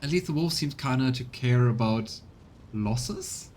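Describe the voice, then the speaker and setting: A man streaming casual let's play commentary, thinking aloud tentatively over faint low rumbling noise. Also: lively intonation, measured pace, darkish tone. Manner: musing, tentative.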